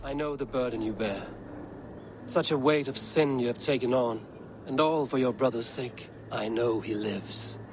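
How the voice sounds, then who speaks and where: An actor playing a grim, blunt Viking raider leader in a video game, terse and stern in formal phrasing. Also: male voice, mid-range voice, normal speed, over music, close to an everyday voice. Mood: stern, pressing. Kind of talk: dramatic video game cutscene acting. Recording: clear outdoor ambient noise behind.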